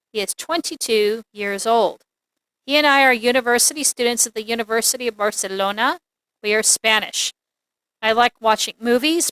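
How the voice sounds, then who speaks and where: A woman teaching an English lesson, reading examples aloud in a deliberate, clearly enunciated, instructional way. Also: a little high, fairly bright tone, dry recording, rough recording.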